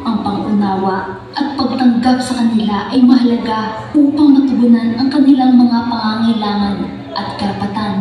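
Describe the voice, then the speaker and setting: A woman giving scripted, measured and earnest dramatic narration. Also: on the high side, mellow. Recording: echoey hall, distant mic, music behind.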